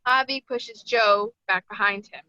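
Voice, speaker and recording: A woman's voice: medium pitch, a little slow, pushed and bright, no room sound. A woman narrator reading screenplay stage directions aloud at a table read. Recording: dull, dry lo-fi audio.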